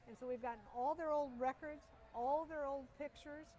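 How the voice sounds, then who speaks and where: A woman's voice: high voice, normal speed. Enthusiastic middle-aged woman telling stories. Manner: animated, enthusiastic storyteller. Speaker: interview guest. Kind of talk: storytelling interview. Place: outdoor air show.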